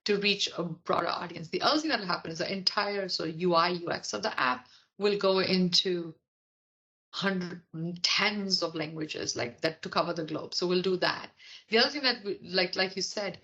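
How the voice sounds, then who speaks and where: Indian-accented woman explaining stuff on a podcast, lively, acts out quotes, kinda dull lo-fi sound. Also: on the low side. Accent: Indian English, softened toward American. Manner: animated storyteller, acts out quotes. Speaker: product leader guest. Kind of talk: podcast interview.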